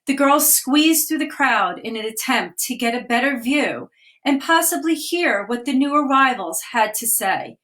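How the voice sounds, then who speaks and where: A woman, a children's author, reading a picture book aloud on a live video stream, with gentle read-aloud pacing and pauses to point out the pictures. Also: high voice, lively intonation. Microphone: phone or webcam on a live video stream.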